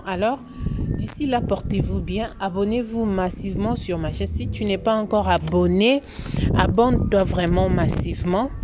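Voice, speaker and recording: A woman's voice: medium pitch, noisy background. A woman from Congo, hosting a commentary vlog in a preachy, moralizing way that repeats its points. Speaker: YouTube channel host.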